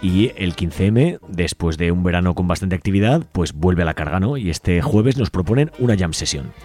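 A man's voice: low voice, expressive, moderate pace, darkish tone. A man co-hosts a local radio show. He runs through listings casually, with a wry, informal turn to his delivery. Music plays faintly underneath him.

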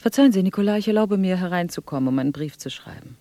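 Creaky, mellow, slyly taunting man. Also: high-pitched, animated, rapid-fire, no room sound. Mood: mock-polite, needling. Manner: mock-courteous, rambling, self-correcting. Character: sly, taunting visitor, falsely polite and chatty. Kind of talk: German literary radio drama acting.

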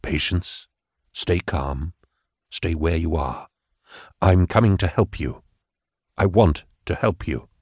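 A man voicing a polite, refined middle-aged male telepath who speaks in a hushed, measured way. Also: low-pitched, breathy edge, dry recording, clean studio sound, voice pushed down, full character voice. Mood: calming.

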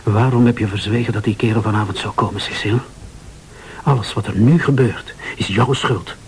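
An actor playing an anxious, guilty husband who pleads and defends himself in a radio thriller. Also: male voice, on the low side, steady tone, slight background noise, close to an everyday voice, rough recording. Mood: reproachful, pleading. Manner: pleading, defensive, halting when pressed.

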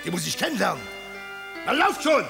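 A flustered, gravelly tsar. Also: male voice, high voice, sing-song, brisk, music in the background, heavily acted. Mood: commanding. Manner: flustered, stammering and gushing. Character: fretful old tsar, doting father. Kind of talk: radio play acting.

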